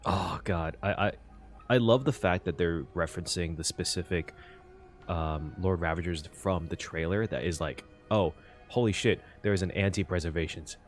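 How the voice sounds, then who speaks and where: A man giving casual reaction commentary as a game streamer, halting and exclaiming, with some swearing. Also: low-pitched, faint music behind.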